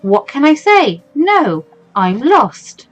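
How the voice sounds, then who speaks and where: A woman's voice: high voice, very animated, slow, pitched above the performer's own voice, full character voice. A woman voicing an anxious, awkward fourteen-year-old schoolgirl from a visual novel, hesitant and self-doubting. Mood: panicking. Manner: hesitant, stammering, over-thinking. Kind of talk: visual novel character reading. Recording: music behind the voice.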